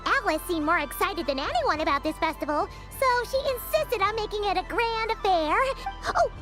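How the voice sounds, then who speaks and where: A bubbly little game heroine with a very high, swooping voice, eager and a touch bossy as she shows off a festival. Loud music plays beneath her. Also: lively intonation, normal speed. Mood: cheerful, eager. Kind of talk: video game voice acting.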